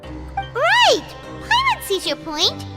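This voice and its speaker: A woman voicing a tiny, excitable female fairy companion in a video game, chirpy and quick to exclaim. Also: very high-pitched, heavily acted. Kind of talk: game voice acting. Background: game music.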